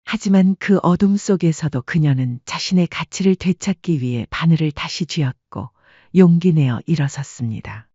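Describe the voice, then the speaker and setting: A woman narrating a scripted audiobook story in a calm, even manner. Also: deep voice, normal speed, breathy edge, no echo, highs cut off.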